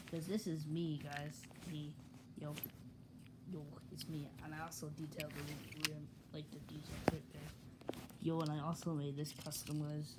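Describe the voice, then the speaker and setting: A speaker chatting casually and informally to camera in slangy, repetitive phrases, recorded on a handheld device in a small room. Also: medium pitch. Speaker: hobby video maker. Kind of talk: casual chat showing toy trains to camera. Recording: noisy amateur camera recording.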